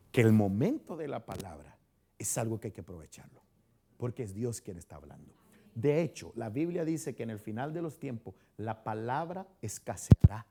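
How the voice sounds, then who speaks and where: A man's voice: mid-range voice, dark tone, slight background noise. A man from Chile with a Chilean accent, a pastor leading a conversational Bible study and quizzing his congregation as he talks. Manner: chatty, asks the congregation questions, frequent restarts. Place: church hall.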